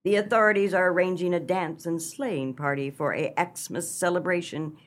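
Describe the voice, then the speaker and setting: A woman's voice: lowish voice, normal speed, natural voice. An actress playing a kindly, fretful old farmer's wife in a comic radio melodrama, earnest and motherly in a theatrical way. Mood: wistful.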